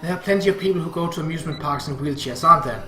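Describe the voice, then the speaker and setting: Man playing tender anxious mother, high-pitched, hesitant, pleading, storybook drama, roomy sound. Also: rapid-fire, bright, forceful tone, soft background music. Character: gentle, anxious, devoted mother soothing her family.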